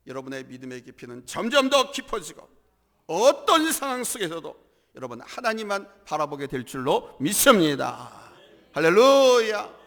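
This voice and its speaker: Male pastor, hoarse from a cold, bright high preaching voice that swings widely, church hall reverb. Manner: emphatic preaching with swells in volume and calls to the congregation. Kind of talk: sermon.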